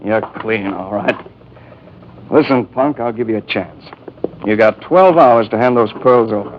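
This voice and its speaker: A man's voice: lowish voice, measured pace, natural voice. A man playing a threatening, slangy gangster thug in a 1950s radio drama. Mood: menacing. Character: menacing gangster thug giving an ultimatum. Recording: dull, noisy 1950s radio recording.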